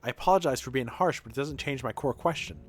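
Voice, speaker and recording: A man's voice: mid-range voice, expressive, fast talker, close to an everyday voice. A man voicing a blunt, self-assured doctor in a video game, with pointed and formal questioning. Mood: accusing. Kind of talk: dramatic reading of game dialogue. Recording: game music behind the voice.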